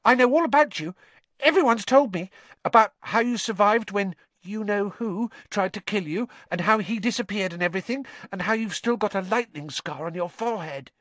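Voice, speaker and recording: An eager little schoolboy, piping very high above an adult register. He gushes hopefully and breathlessly, like a star-struck fan in a children's audiobook, in a close, dry recording. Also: moderate pace, a bit bright, dry recording. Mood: eager, excited. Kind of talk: audiobook character voice.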